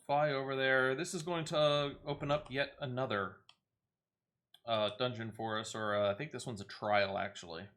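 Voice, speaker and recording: A man hosts a casual video game playthrough in a bright, forward voice at a middling pitch. He talks half to himself as he goes, switching between offhand remarks and reading game text aloud. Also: fairly even intonation, normal speed. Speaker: gaming video host.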